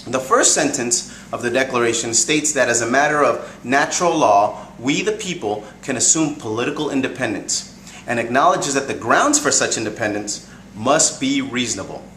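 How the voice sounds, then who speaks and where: A man giving an earnest, measured, prepared introduction as a presenter. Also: medium pitch, expressive, fairly bright tone. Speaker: presenter introducing a group reading. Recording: echoey room, faint background noise.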